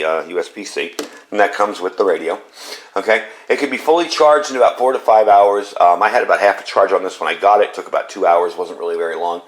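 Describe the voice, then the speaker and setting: A man giving a casual, plain-spoken, hands-on product review in a conversational, explanatory way. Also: fairly low pitch. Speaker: gadget reviewer presenting a product demonstration. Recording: noisy, with radio static and background hiss.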